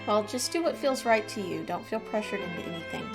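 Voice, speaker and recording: A woman's voice: medium pitch, lively intonation, brisk, over music. A woman gaming streamer giving casual playthrough commentary and reading out dialogue choices.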